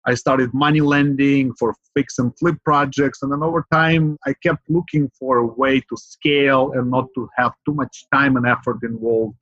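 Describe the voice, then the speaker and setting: A middle-aged man from Ukraine, speaking English as an in a steady, earnest manner. He works through his points at a measured pace, dropping fillers and asking "right?". The sound is dry and a bit dull, like a remote call. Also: medium pitch, no echo. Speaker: guest, real estate investor. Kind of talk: podcast interview.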